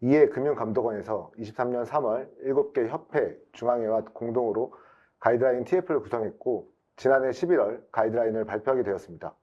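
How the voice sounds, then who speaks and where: A man's voice: medium pitch, fast talker, lo-fi sound. A man giving a formal, explanatory prepared webinar presentation as an IT specialist.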